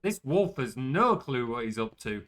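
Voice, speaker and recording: Young male streamer, chatty, self-deprecating, quick to curse; animated delivery, crisp bright tone. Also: medium pitch. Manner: chatty and self-mocking, swears when caught out. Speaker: game streamer. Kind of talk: casual gameplay commentary.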